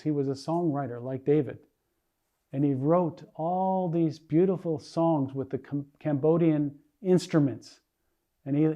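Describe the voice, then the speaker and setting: An older man from the United States preaches in an earnest storytelling way, often restarting his sentences. His voice is a little high for a man and mellow in tone. The recording sounds dull and lo-fi. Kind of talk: sermon testimony.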